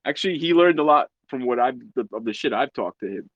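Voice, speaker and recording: A man's voice: medium pitch, lively intonation, quick pace, fairly bright tone, no room sound, dull audio. A young man hosting a casual podcast chat, rambling and swearing, often stumbling and repeating words. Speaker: podcast host. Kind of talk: casual group chat on a video call.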